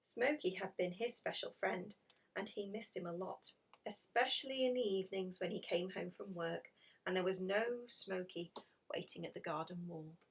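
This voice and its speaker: A woman's voice: on the low side, normal speed, breathy edge, distant mic. A woman reading a children's picture book aloud as a storyteller. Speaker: storybook reader.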